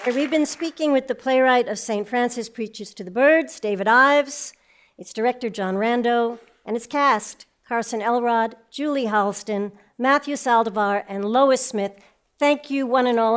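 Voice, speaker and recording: Woman interviewer with a slightly high voice. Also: moderate pace, a faint crowd, a little hiss or hum. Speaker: host and interviewer. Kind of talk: live panel interview. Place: stage recording before a live audience.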